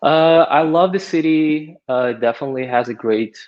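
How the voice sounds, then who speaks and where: A man's voice: on the high side, normal speed, a little mellow. A man, a podcast guest answering casually with many fillers. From Ukraine. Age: 30s. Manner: hesitant, many filler words. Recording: dull lo-fi audio.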